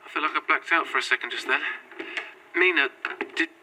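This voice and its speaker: Casting: male actor for anxious young male employee, high-pitched, stammering, polite, found-footage horror drama, faint background noise. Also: brisk, lightly acted. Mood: weary, uneasy. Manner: hesitant, stammering, apologetic. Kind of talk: naturalistic found-footage audio drama acting.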